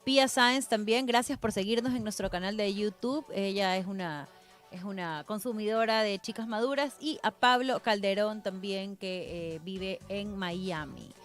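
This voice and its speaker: A woman's voice: medium pitch, moderate pace, faint music behind. A woman co-hosting a casual chat show, repeating words for emphasis. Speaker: co-host.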